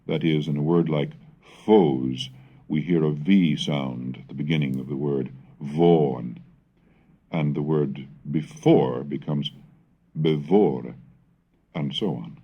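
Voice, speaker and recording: A man reading a scripted educational recording on language history, scholarly and measured, turning theatrical when he recites old verse. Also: rumbling low, husky, dull audio. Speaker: reader and lecturer on English language history. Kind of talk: scripted educational narration with dramatic recitation of Middle English texts. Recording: 1970s educational cassette recording.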